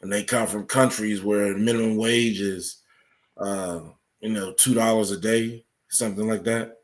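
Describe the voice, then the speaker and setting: A man's voice: on the low side, steady tone, a little slow. A man answering questions as a podcast guest, explaining his points in a conversational way. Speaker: podcast guest, article author. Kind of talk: interview answers, explanatory talk.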